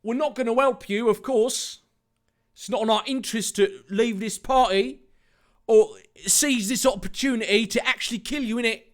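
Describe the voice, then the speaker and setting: Man putting on a high, insolent thug. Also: normal speed. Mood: scornful. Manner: tag questions, sneering insults. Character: insolent, taunting male thug with a verbal tic.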